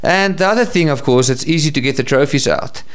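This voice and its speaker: A man's voice: fairly high pitch, animated, fairly quick, clear, resonant tone. A man with a South African accent answering interview questions conversationally as a guest. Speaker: professional hunter and safari business owner, interview guest.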